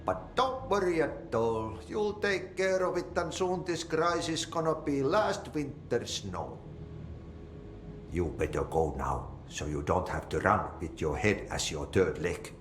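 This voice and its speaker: A cryptic Finnish janitor. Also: male voice, mid-range voice, very animated, unhurried, reverberant, slight background noise. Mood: reassuring. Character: eccentric cheerful male janitor, cryptic. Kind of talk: video game cutscene acting.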